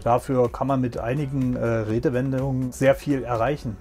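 A middle-aged train driver talks plainly in an interview, now and then pausing with fillers. His voice is dark and mellow at a middle pitch. Soft music sits underneath. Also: flat delivery. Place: locomotive cab.

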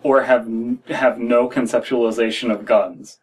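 A man's voice: medium pitch, fairly even intonation, moderate pace, slightly rough, poor audio. An American man in his thirties reading a horror story aloud as a podcast co-host, with wry, critical asides. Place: small room indoors.

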